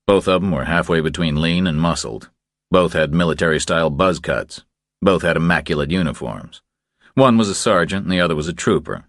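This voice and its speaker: A man narrating a crime thriller audiobook in a measured, terse storytelling manner. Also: deep voice, fairly bright tone, no room sound, studio quality.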